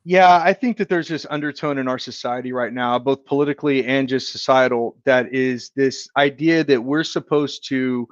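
A man's voice: medium pitch, moderate pace, hardly any pauses, dry recording, lo-fi sound. A man in his thirties, a guest in a casual business interview, talking earnestly and reflectively about his career, with frequent restarts. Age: 30s. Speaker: insurance agency owner, guest.

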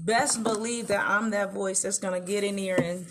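A woman's voice: medium pitch, steady tone, normal speed. Emphatic American woman candidate, noisy recording. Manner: emphatic, colloquial, repeats phrases for emphasis. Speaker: political candidate being interviewed. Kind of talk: campaign interview, conversational.

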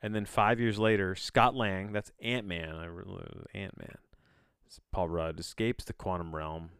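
Male podcast host, lowish smooth voice, close dry sound, off-the-cuff and sardonic read-and-react delivery. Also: steady tone, unhurried, a bit bright, no echo. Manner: rambling, incredulous, sardonic asides; casual swearing. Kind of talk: casual solo commentary while reading a plot synopsis aloud.

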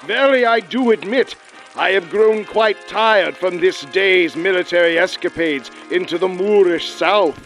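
An actor playing a pompous, hearty crusader knight, declaiming in mock-archaic old-time radio style. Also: male voice, sits high, unhurried, a bit bright, faint music behind, low-quality recording. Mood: hearty, grandiose. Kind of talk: parody 1940s radio play acting.

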